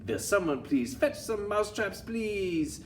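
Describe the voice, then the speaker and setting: A flustered, high-pitched storybook schoolroom character, done by a man, yelling and wailing in panic. It sits a bit back from the mic. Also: big pitch swings, unhurried. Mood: alarmed. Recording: distant mic, faint background noise.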